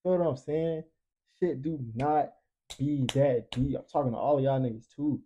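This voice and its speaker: A man's voice: medium pitch, steady tone, normal speed, mellow, highs cut off, a little hiss or hum. A twenty-year-old man talking casually and emphatically, preaching motivation in profane slang with repeated catchphrases. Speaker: podcast panelist.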